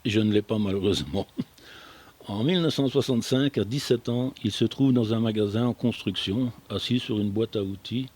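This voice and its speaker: A man's voice: medium pitch, normal speed, darkish tone. An older, retired man presenting a radio programme, reading scripted biographical narration with some casual banter. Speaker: radio show presenter who prepares the programme.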